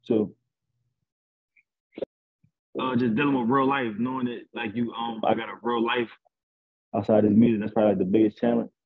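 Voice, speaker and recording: A young man, a rapper, talking casually and boastfully in an interview over a video call, with a deep raspy voice. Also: level tone, moderate pace, pauses often, a crowd in the background. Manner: laid-back, boastful, rambling. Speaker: rapper guest. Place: indoors at home. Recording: tinny video call audio.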